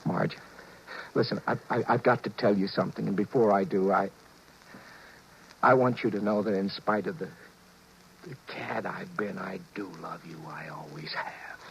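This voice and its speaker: Guilty husband, dull old radio sound. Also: male voice, on the low side, vocal fry, a little hiss or hum. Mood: nervous, confessing. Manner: halting, earnest, stammering in dialogue. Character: guilty, sheepish husband narrating his own marital misadventure. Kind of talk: 1950s radio drama acting, first-person narration. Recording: dull 1950s radio recording.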